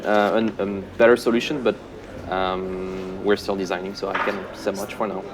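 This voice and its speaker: Man, low voice, relaxed, hesitant, many fillers, dry humor. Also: moderate pace, a crowd in the background. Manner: relaxed, many ums and uhs, self-deprecating humor. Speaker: senior game producer. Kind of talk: casual interview answers.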